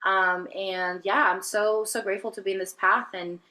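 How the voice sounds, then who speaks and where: A woman's voice: mid-range voice, steady tone, normal speed, pushed and bright. A woman from Venezuela, a guest telling her life story in an interview, hesitant with many fillers and restarts. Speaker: guest, spiritual healer. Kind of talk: reflective personal interview talk.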